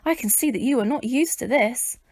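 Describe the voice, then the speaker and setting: A woman voicing a brisk, bossy, teasing circus man in a children's storybook. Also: on the high side, a little mellow, no echo.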